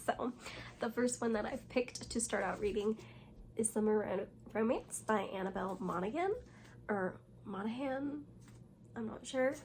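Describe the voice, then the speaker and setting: Young woman w a hoarse scratchy voice, kinda high, rambly book vlog chat with lots of likes and ums, faint hiss behind. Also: a little mellow. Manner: chatty, rambling, full of "like" and "um". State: hoarse, recovering from losing her voice. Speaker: booktuber. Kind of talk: casual reading vlog chat.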